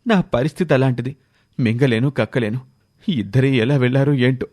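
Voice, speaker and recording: A man's voice: medium pitch, moderate pace, mellow, natural voice. A man voicing a troubled, apologetic father with a helpless, pleading manner in a moral story.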